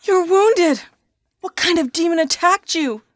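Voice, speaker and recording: A high, slow, earnest game heroine. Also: female voice, studio quality. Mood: concerned, earnest. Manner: stilted, slow, over-enunciated delivery. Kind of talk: 1990s video game voice acting. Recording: long hollow reverb.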